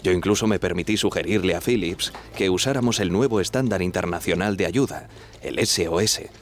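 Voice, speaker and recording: Deep-voiced, dutiful ship's radio officer, man acting. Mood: reflective. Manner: deferential, measured. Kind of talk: radio drama acting, first-person recollection.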